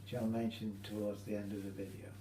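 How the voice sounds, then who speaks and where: British male hobbyist demonstrator. Relaxed, dark tone, hesitant informal delivery, faint hum in the recording. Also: lowish voice. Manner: hesitant, halting, explanatory. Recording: roomy echo, faint hum.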